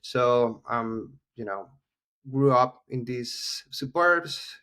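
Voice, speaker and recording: A man's voice: medium pitch, animated, drawn-out words, bright, forceful tone. A Venezuelan man with a Spanish accent, a journalist guest talking casually on a video call, friendly and peppered with "you know". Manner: friendly, speaks steadily with "you know" fillers. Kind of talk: casual interview.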